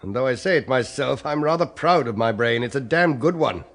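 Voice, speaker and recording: An actor playing a proud, skeptical, dying philosophy scholar with a dry, deliberate, pompous manner, in a 1970s radio horror play. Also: male voice, medium pitch, expressive, fairly bright tone. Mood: proud, resolved.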